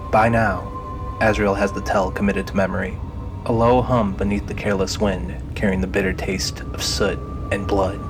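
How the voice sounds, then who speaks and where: A man reading scripted, dramatic narration for an audio drama. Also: lowish voice. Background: music.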